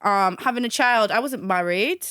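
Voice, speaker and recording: A woman's voice: medium pitch, normal speed, clear, resonant tone, no room sound, studio quality. A young woman, talking casually and animatedly as a guest in an interview, with many fillers. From Manchester, England. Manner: animated, chatty, hesitant with fillers.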